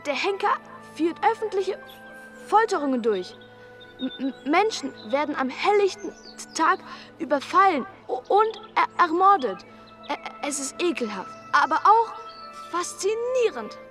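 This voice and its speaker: A cynical, excitable schoolboy in a radio drama. His voice is high and he speaks slowly, catching on words with a stammer. The delivery is theatrical and florid, over faint music. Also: exaggerated voice. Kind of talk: German radio drama acting.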